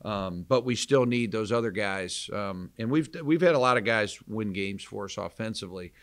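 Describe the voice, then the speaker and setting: An American man in his forties, a college basketball coach, answering press questions in a measured, candid way with pauses to think. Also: fairly low pitch. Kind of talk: postgame press conference answers. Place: indoor press conference room, seated at table. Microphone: microphone on table stand.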